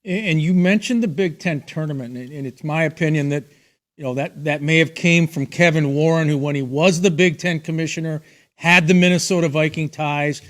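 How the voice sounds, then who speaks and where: A man's voice: on the high side, normal speed, a bit bright. A middle-aged man hosting a sports talk show, conversational and giving his opinions to a guest.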